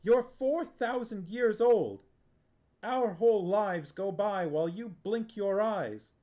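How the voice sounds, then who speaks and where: A man reading an audiobook, voicing a young man who is furious and hurt and shouts his anger. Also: sits high, big pitch swings, unhurried, voice pushed up.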